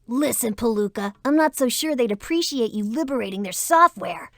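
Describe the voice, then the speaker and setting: A woman's voice: fairly high pitch, lively intonation, normal speed, no room sound, clean studio sound. A woman voicing a decisive, wisecracking young adventurer who leads a team in a children's computer game. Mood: confident, wry. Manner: decisive, slangy.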